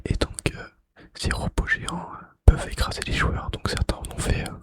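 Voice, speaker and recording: Whispering man doing ASMR. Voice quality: breathy unvoiced whisper. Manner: hushed, repeats key phrases slowly and softly. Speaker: ASMR video creator. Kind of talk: whispered ASMR gaming trivia. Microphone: close microphone.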